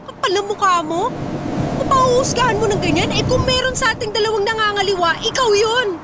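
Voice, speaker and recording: High-voiced, troubled young woman. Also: a little fast, heavy background noise. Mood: outraged. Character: distressed, stubborn pregnant young woman, nineteen, jilted. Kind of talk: radio drama acting. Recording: loud music bed under the voice.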